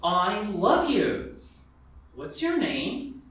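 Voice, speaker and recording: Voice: medium pitch, sing-song, drawn-out words, a bit bright, a little hiss or hum. A narrator performing a scripted fairy tale in a readers theatre style. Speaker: narrator who also voices the prince. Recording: very echoey room, distant, music behind.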